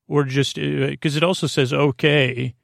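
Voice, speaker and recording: A man with a creaky voice hosting a bedtime podcast, rambling in a halting, goofy, digressive way. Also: fairly high pitch, steady tone, no echo, studio quality. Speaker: podcast host.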